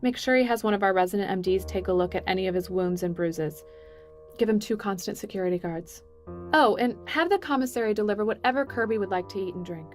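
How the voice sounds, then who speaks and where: An actress playing a cool, calculating corporate boss in an audio drama, speaking with smooth authority and condescension. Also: female voice, mid-range voice, fast, soft background music, lightly acted. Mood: smoothly commanding.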